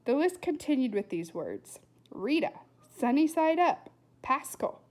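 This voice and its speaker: A woman reading a storybook aloud as narrator in an expressive style. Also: high-pitched, measured pace. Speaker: storybook reader.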